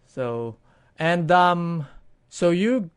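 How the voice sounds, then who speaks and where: A woman hosting a relaxed interview, asking questions informally and feeling her way into each one. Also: on the low side, very slow, clean tone. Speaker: interviewer, host. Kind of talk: casual conversational interviewing.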